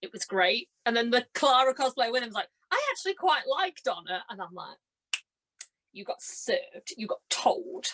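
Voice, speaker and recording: A woman's voice: fairly high pitch, expressive, moderate pace, a bit bright, a little hiss or hum. A young woman in her twenties, a podcast guest, chatting casually and enthusiastically, telling anecdotes and acting out what people said. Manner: bubbly, giggly, enthusiastic storytelling with acted-out quotes. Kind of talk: casual chat, animated anecdotes.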